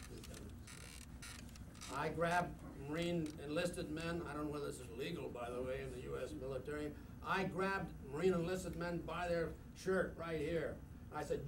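An older man tells war memories to a club audience, rambling and emphatic, full of fillers. His voice sits a little high. The sound is distant and roomy, with steady noise behind it. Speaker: guest speaker. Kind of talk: war memoir talk at a club meeting. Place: club meeting room, indoors. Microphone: podium mic, camera from across room.

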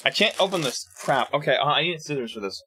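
A male streamer talks to his viewers in a casual live chat, his voice fairly high and bright, swinging widely in pitch. He laughs easily and trips over words when he reads aloud. The sound is dry and close, with no room echo. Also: quick pace, breathy edge. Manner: animated, laughs often, stumbles when reading aloud.

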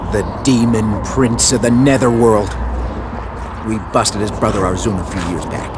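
An actor playing an excitable, eager ghost hunter in a video game cutscene. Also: male voice, on the low side, normal speed, background noise, close to an everyday voice. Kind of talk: cinematic game cutscene acting. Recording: loud ambient effects behind.

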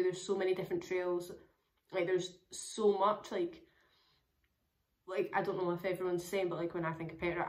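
A young woman talks casually to a camera in a small room at home. Her voice sits a little low for a woman and sounds hoarse and crackly. She rambles along with plenty of "like" and "um.". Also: darkish tone. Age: young adult. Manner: chatty, rambling, many fillers. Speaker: travel vlogger.

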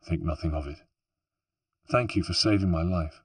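A man voicing a gentle young male hero who speaks softly and reassuringly. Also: low voice, normal speed, breathy edge, dry recording, far from the mic.

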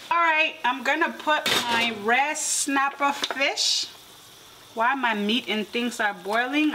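Woman talking over a sizzling pot. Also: on the high side. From Liberia. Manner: relaxed, explains each step, laughs lightly. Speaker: cooking vlogger. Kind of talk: casual cooking demonstration. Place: home kitchen. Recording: clear kitchen cooking noise. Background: frying and sizzling food, stirring.

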